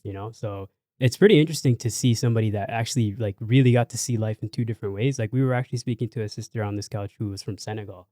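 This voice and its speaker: A man hosting a casual podcast chat, relaxed and encouraging, with lots of fillers. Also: lowish voice, fast, dry recording, studio quality. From Canada. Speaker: podcast host.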